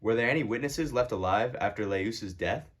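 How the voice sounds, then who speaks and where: A man's voice: fairly low pitch, moderate pace, close to an everyday voice. A man playing a hot-headed, sarcastic king in a casual amateur puppet show. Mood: uneasy, confiding.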